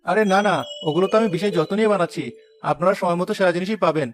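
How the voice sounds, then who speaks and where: A man's voice: high voice, racing, close to an everyday voice. A man playing a proud, hot-headed young village weaver in a dramatic moral-story cartoon. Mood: defensive.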